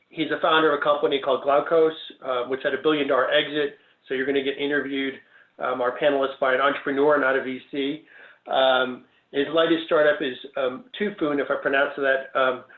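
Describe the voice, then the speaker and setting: A man giving welcoming remarks and introducing a panel moderator, with many pauses and restarts. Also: medium pitch. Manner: halting, many ums and restarts. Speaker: event organizer introducing a panel. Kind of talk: hesitant welcoming remarks on a video call. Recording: echoey, tinny phone-like line.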